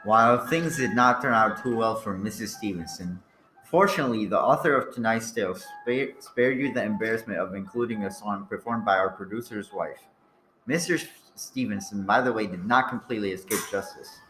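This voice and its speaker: A man's voice: fairly low pitch, moderate pace, fairly bright tone, faint music behind. A man reading a scripted narration as the announcer of a radio play. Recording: echoey room, faint noise.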